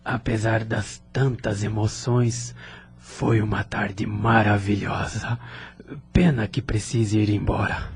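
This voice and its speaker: An actor with a Brazilian Portuguese accent playing a polite, lonely man in an audio drama, speaking in a measured way. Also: fairly low pitch, level tone. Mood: grateful, calm. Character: Luciano, lonely, polite man moved by hospitality.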